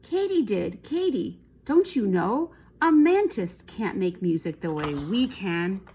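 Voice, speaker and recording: A woman's voice: mid-range voice, big pitch swings, a little slow, a little mellow, slight background noise. A woman voicing boastful, taunting katydid insects who chant in a children's storybook. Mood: teasing.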